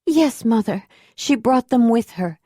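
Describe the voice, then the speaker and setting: A woman's voice: expressive, a little slow, dark tone, no echo, clean studio sound. A woman voicing a dutiful young acolyte daughter, earnest and eager. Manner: high, earnest, a bit breathless. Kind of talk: audiobook character voice.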